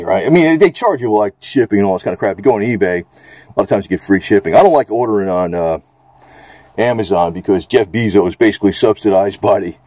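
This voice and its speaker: A man with an American accent and a fairly low voice gives a casual, unscripted product review. He rambles in a plain-spoken, mildly profane way. The sound is very dry, with hardly any room on it. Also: a little mellow, no echo.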